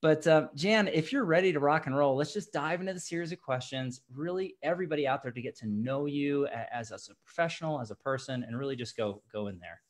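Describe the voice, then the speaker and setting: A man's voice: fairly high pitch, fast, few pauses. A young man hosting an interview podcast over a video call, upbeat and effusive, often repeating himself. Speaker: podcast host. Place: home office, indoors. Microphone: studio mic with headset, video call.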